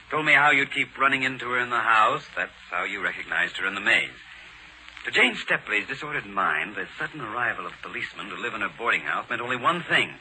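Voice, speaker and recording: An actor playing a brisk, cerebral American detective who explains things deliberately, in 1940s radio drama style. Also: male voice, mid-range voice, sharp tone. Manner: deliberate, expository. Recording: noisy 1940s radio recording.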